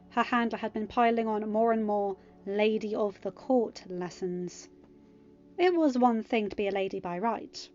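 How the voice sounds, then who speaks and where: A woman's voice: on the high side, darkish tone, lo-fi sound. A woman narrating a scripted piece of fiction as an audiobook reader.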